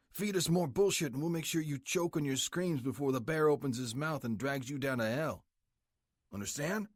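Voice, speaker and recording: A man's voice: medium pitch, dry recording, clean studio sound, pitched above the performer's own voice, close to an everyday voice. A man voicing a menacing older biker boss. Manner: menacing, clipped threats. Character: gruff older male biker club president, menacing.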